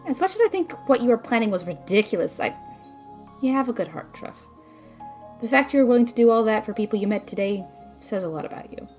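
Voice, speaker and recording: Spirited, teasing, loyal young adventuress for audio drama, played by a woman, animated, slightly high, faint music underneath. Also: fast, natural voice. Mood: concerned, sincere.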